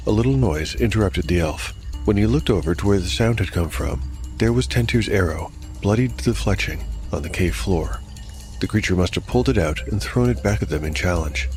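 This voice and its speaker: A man with a very low voice narrates a fantasy tale as game master. His delivery is scripted and dramatic, with faint music underneath. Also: expressive, brisk, darkish tone.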